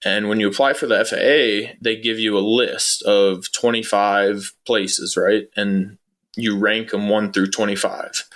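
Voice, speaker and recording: A man's voice: on the low side, flat delivery, a little slow, fairly bright tone. A man answering interview questions as a guest in a casual, matter-of-fact, conversational way. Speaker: interview guest, former air traffic controller.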